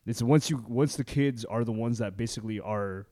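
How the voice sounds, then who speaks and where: A man's voice: medium pitch, normal speed, dark tone. A man born and raised in Canada, chatting loosely as a podcast co-host, full of "like" and "man". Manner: loose and rambling, lots of "like" and "man". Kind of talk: casual chat.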